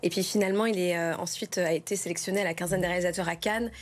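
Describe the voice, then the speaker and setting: A woman's voice: lowish voice, steady tone, very fast. A middle-aged woman from the Lyon region of France answers as an interview guest, speaking enthusiastically and repeating words for emphasis. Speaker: interview guest, film director.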